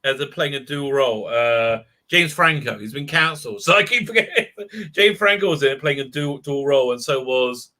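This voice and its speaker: A man's voice: mid-range voice, animated, normal speed, bright, forceful tone. A man hosting a casual livestream call, rambling through chat messages with lots of fillers and restarts. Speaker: livestream host.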